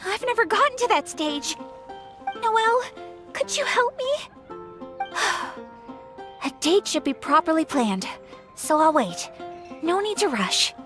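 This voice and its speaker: A woman voicing an excitable, lovestruck young woman in an anime video game, scheming and dramatic. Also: very high-pitched, measured pace, pauses often, music in the background, heavily acted. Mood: flustered.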